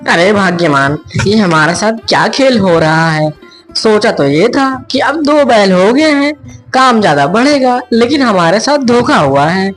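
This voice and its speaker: A woman's voice: medium pitch, animated, measured pace, faint music behind. A woman voicing a poor, honest, worried village husband in a children's cartoon story, earnest and plaintive. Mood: distressed.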